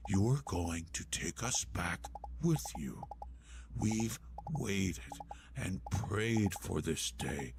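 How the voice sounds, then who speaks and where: A zealous cult devotee, as a male narrator puts him on, talking low and slow in a near whisper. The lines drag out with reverent menace. A steady hiss sits under the voice, which sounds slightly off the mic. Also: creaky, full character voice. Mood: insistent. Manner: hushed, half-whispered pleading.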